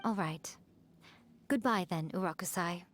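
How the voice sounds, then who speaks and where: A woman's voice: on the low side, unhurried, a little hiss or hum, lightly acted. A woman voicing a powerful, poised and sly fox spirit in a video game, speaking in a knowing, teasing manner. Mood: fond. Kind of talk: English video game voice acting.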